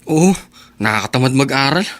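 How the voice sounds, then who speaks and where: An actor with a Filipino accent playing a lazy, smooth-talking, pushy teenage schoolboy in a radio drama. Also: male voice, mid-range voice, expressive, normal speed, fairly bright tone, natural voice. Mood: sulky. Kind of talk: Tagalog radio drama acting.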